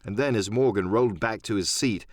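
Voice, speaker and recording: A man's voice: on the low side, fairly quick, no echo, clean studio sound. A man narrating an adventure audiobook in a dramatic first-person storytelling style.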